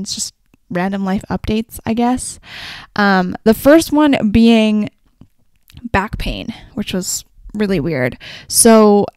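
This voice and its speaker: Young woman, podcast host, casual rambling chat, sore slightly raspy voice, dry close sound. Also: mid-range voice, a little mellow, no echo. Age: young adult. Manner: chatty and rambling, frequent "like" and "kinda". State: sore-throated, voice a little raspy.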